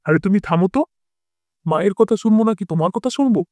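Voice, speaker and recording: Fast, high-voiced stubborn husband, acted by a man. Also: lively intonation, a little mellow, no echo. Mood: irritated. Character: stubborn husband devoted to his mother. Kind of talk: Bengali cartoon fairy-tale voice acting.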